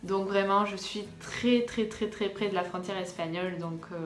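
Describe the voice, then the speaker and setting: A young woman tells her story casually to her followers. Her mid-pitched voice sounds in an echoey room, with faint music underneath. She often hesitates and doubles words to stress them. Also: normal speed. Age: young adult. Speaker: vlogger. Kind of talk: casual storytime chat to viewers.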